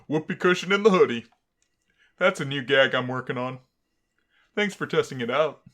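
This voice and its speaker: A man's voice: fairly high pitch, normal speed, a bit bright, voice pushed up, natural voice. A man voicing a laid-back, joking male skeleton in a dating game, with a casual, wisecracking manner.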